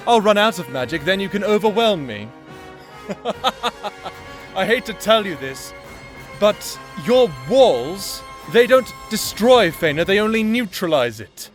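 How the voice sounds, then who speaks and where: An actor playing a vengeful, sadistic necromancer in an audio drama, taunting and gloating in a theatrical way. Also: male voice, high voice, big pitch swings, measured pace, soft background music. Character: vengeful male necromancer, manic, gleefully sadistic escaped prisoner. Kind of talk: dramatic audio roleplay acting.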